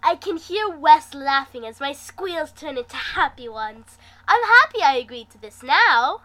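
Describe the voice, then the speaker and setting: A woman with a high, bright voice reads a story aloud as an amateur narrator. Her delivery is dramatic and unhurried, slipping into acted dialogue along the way. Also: animated. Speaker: amateur fan fiction reader, host.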